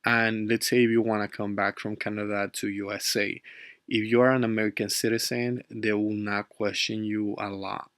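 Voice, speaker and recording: A man's voice: fairly low pitch, little pitch movement, normal speed, a bit bright, clear, resonant tone, no room sound, studio quality. A young man from Ecuador with an Ecuadorian Spanish accent, narrating his travel vlog in chatty, loose English full of fillers and the odd Spanish word. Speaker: travel vlogger.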